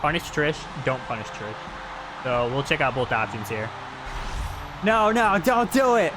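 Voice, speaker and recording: A man's voice: on the high side, lively intonation, unhurried, very noisy. A man doing casual live commentary on video game footage, talking in an informal way.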